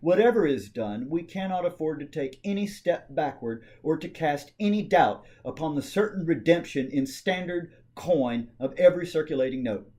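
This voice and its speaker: A man with a voice pitched a little above average performs a historical political speech. He declaims it formally, with dramatic emphasis and carefully measured phrasing. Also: lively intonation, warm tone. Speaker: amateur reader of a historical speech.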